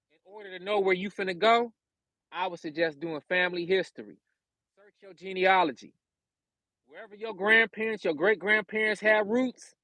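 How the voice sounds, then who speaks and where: A man's voice: fairly high pitch, fairly bright tone, no echo. An American man from California talking casually on a livestream, giving slangy, conversational advice and repeating phrases for emphasis. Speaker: livestream host giving self-sufficiency advice.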